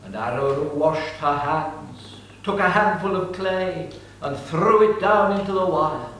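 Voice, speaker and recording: A man's voice: a little high, expressive, unhurried, fairly bright tone, slight background noise. A man narrating an ancient epic in a declamatory, solemn dramatic reading. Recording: distant mic, echoey room.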